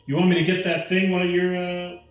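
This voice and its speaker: A man's voice: sits high, little pitch movement, brisk, faint music behind. Man in a very echoey room. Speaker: player in a tabletop game. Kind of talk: casual game chat.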